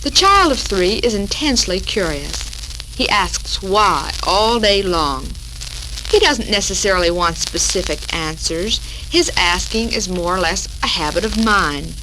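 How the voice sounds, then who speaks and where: An American woman presents a 1940s radio talk for housewives in a mid-range voice. Her reading is measured and formal, like a prepared script. The old broadcast recording crackles with clear noise throughout. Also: medium pitch. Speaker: radio show host.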